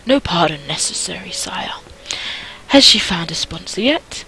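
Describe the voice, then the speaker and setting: A woman voicing a fond, courtly nobleman with a soft, coaxing, formal manner. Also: fairly low pitch, lively intonation, a little slow, exaggerated voice, poor audio. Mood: polite, intent.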